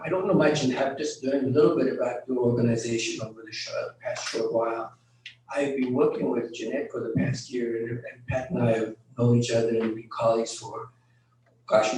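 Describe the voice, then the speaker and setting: A middle-aged man and business owner giving a short candidate speech at a community centre meeting, in an even, matter-of-fact way. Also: medium pitch, a little hiss or hum. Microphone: handheld mic at a distance. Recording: very echoey room, far from recorder.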